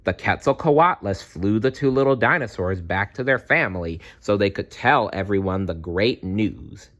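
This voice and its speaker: A man reading a children's story aloud in an expressive, deliberate storytelling manner. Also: lowish voice. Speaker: storybook reader. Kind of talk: children's read-aloud narration.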